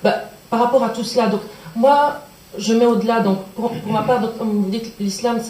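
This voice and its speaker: A woman's voice: on the high side, fairly even intonation, normal speed, mellow. A woman from Belgium, asking an earnest, searching question from the audience at a public lecture and circling back on her words. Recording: echoey conference hall.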